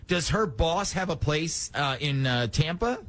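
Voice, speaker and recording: Male morning radio host, lively and slightly high, conversational prank-call banter. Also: unhurried.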